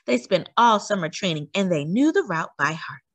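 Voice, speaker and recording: Female children's storyteller, animated and emphatic read-aloud, very varied intonation, dry sound. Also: mid-range voice, moderate pace, no echo.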